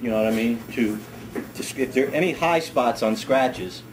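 A middle-aged man, a ski school director, demonstrating a hands-on task in a wood-paneled workshop, talking in a relaxed, chatty way and repeating words as he works. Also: mid-range voice, normal speed, poor audio. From United States. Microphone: camera mic on a workbench. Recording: camera audio with steady background noise.

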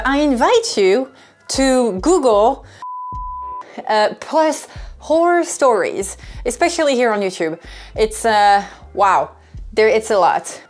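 A woman in her thirties talking casually and candidly to camera, explaining things as a vlogger on a lapel mic. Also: medium pitch. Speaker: sailing vlogger. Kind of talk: casual vlog talk to camera. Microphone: clip-on wireless lapel mic. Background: background music.

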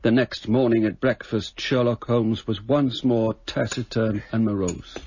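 An actor playing a sober English gentleman who narrates a radio drama in measured, literary phrases. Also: fairly low pitch, steady tone, slightly rough, dry recording. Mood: sober, reflective. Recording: slightly dull, dry radio recording.

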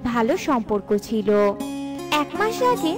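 A woman's voice: on the high side, sing-song, unhurried. A woman reading scripted narration that introduces a cartoon story. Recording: clear guitar music behind.

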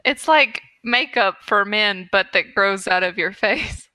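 A woman's voice: medium pitch, lively intonation, unhurried, pushed and bright, dry recording. A woman co-hosting a podcast in casual chat, with wry, playful quips. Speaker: podcast co-host.

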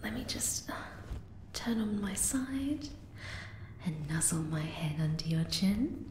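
A woman voicing a shy, flustered young moth-girl lover in an ASMR roleplay, hesitant, tender and soft-spoken. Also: low-pitched, lively intonation, takes it slowly, echoey room, slight background noise. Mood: gentle, comforting.

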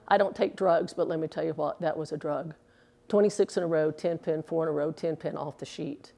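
A woman's voice: deep voice, fairly even intonation, a little fast, vocal fry, dark tone. A woman in her sixties, a former pro bowler, chatting earnestly in an interview, with filler words, recorded indoors on a lapel mic. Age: 60s. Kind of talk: casual interview answers. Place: indoors in a bowling alley.

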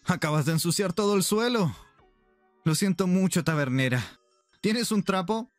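A man's voice: sits high, lively intonation, moderate pace, no echo. A man playing an affectionate, playful and protective pirate captain in an anime roleplay, with music behind him. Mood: apologetic. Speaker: roleplay performer. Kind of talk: ASMR anime roleplay acting.

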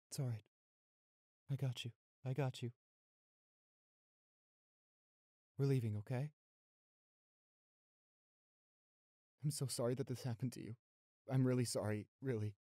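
A very dry, close recording of a man acting as a young boyfriend in an intimate audio roleplay. He is protective and tender with his girlfriend, fierce with anyone who threatens her. His voice sits at a medium male pitch. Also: warm tone, dry recording, natural voice. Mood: soothing, worried. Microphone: close studio microphone.

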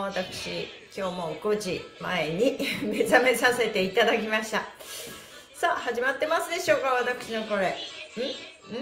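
A woman's voice: a little high, fairly bright tone. A middle-aged woman leading a stretching lesson in a polite, bubbly, sing-song way, talking to a camera in a small room. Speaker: stretching instructor and livestream host. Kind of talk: cheerful exercise lesson, chatting and counting chants. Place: small indoor studio room, sitting on a mat. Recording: echoey room with music.

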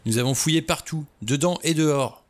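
A man's voice: a little high, a little fast, pitched above the performer's own voice, lightly acted. A man reading an audiobook, voicing a stubborn, suspicious middle-aged man who talks bluntly. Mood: gruff.

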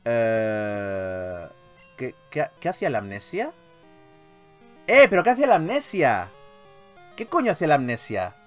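A man with an accent from Spain giving casual, excitable gaming commentary, repeating his phrases and swearing freely. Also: mid-range voice, a little slow, long pauses. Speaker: gaming YouTuber, host. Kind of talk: casual, excitable Pokémon let's play commentary. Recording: game music behind voice. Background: video game music.

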